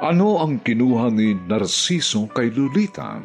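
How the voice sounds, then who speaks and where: A man with a Filipino accent, formally and deliberately narrating and announcing a radio drama in a scripted style. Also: medium pitch, sing-song, soft background music.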